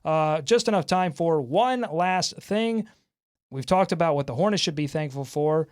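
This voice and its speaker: A man hosting a casual sports talk show, bantering with fans and co-hosts in an excitable, animated way. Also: a little high, moderate pace. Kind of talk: casual sports fan banter with comments and highlights.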